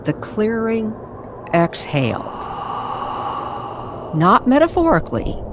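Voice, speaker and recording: A woman narrating an eerie story in old-time radio style, with dramatic, weighted pauses. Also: medium pitch, noisy background.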